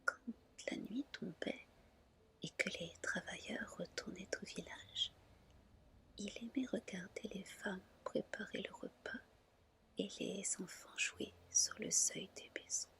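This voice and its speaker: A woman reading a story aloud as ASMR in a breathy whisper, soft, gentle and unhurried. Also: mid-range voice.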